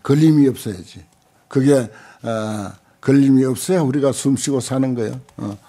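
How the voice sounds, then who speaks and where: Older man, Buddhist monk lecturer, raspy, soft dark tone, halting conversational delivery. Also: mid-range voice. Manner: halting, conversational, with frequent pauses and short interjections. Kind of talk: informal dharma lecture with commentary on a text. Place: lecture room at a temple center, seated at a desk. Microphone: desk gooseneck microphones close in front.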